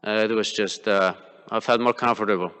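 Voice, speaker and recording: Polish-native guy giving a temple talk, lots of uhs and restarts, bright clear voice, big hall echo. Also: on the low side, steady tone, fairly quick. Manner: hesitant, many fillers and restarts. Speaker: temple class speaker. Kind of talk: devotional class talk, personal examples. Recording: long hall reverb.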